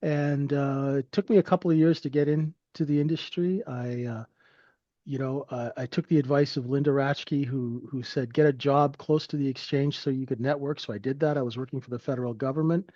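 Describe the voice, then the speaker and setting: A man's voice: medium pitch, fairly even intonation, brisk, mellow, dry recording, lo-fi sound. A man in his fifties from Canada, telling his life story in a rambling, friendly way with lots of fillers. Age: 50s. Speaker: guest trader. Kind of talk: casual interview storytelling.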